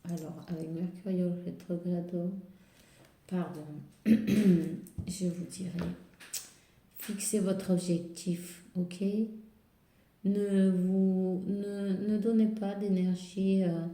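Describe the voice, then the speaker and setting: A woman with a slight cold and a hoarse voice, giving hesitant spiritual advice to viewers full of fillers and restarts. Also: on the low side, flat delivery, takes it slowly, mellow, a little hiss or hum. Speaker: tarot and spiritual reader. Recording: roomy echo.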